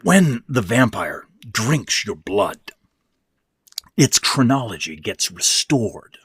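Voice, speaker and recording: A man's voice: lowish voice, very animated, slow pace, fairly bright tone, husky, no room sound, heavily acted. A man voicing an exhausted, sick old man in a horror story, irritable and commanding, with hissing, growling, emphatic delivery. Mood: grimly insistent. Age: older. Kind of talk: dramatic horror fiction reading.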